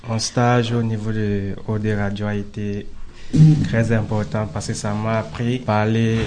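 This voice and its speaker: A man from Cameroon gives an unscripted answer as a radio intern. His voice is low and fairly monotone, with slight noise behind it. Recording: faint background noise.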